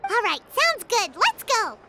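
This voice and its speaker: A tiny fairy sidekick from a video game, squeaky and extremely high. The voice is bright and bouncy in pitch, childlike and eager, over background music. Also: female voice, big pitch swings, measured pace, slight background noise, heavily acted. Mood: cheerful, encouraging. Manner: eager, chirpy, refers to self in third person. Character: tiny excitable childlike floating fairy companion, female. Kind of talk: video game character acting.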